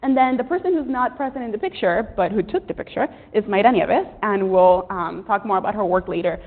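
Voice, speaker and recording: Woman presenting in an echoey hall. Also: mid-range voice. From Puerto Rico. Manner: earnest, frequent ums. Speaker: research presenter. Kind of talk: academic presentation. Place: lecture room with an audience. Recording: tinny, echoey lecture hall recording.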